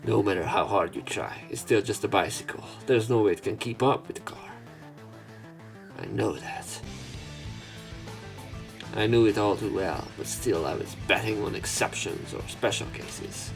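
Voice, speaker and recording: A man's voice: on the low side, lively intonation, moderate pace, creaky, over music. A man hosting a let's play, reading a visual novel's narration and dialogue aloud.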